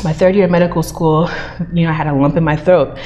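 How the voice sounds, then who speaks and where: A woman's voice: low voice, steady tone, mellow. A Nigerian-born woman with an American accent, earnestly telling her story as a talk show guest. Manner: fast, earnest storytelling with laughs. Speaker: guest, chemist and founder.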